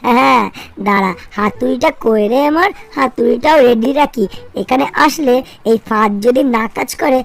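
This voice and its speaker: A woman voicing an excitable, scheming male cat in a comic cartoon dub, chattering and exclaiming with a West Bengali accent. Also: on the high side, normal speed, gravelly. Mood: gleeful menace. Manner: exclamatory, chatty, repeats words.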